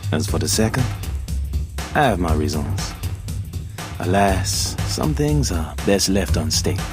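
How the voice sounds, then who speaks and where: An actor playing a suave, guarded, shrewd club boss in a video game, speaking in a measured, cagey, wry way. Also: male voice, low-pitched, very animated, heavily acted. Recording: game cutscene with loud music. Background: loud game music.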